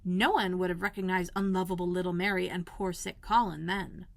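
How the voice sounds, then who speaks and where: A woman narrating a children's storybook like a school librarian reading aloud, expressive and clearly phrased. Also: fairly low pitch, a little fast, no echo.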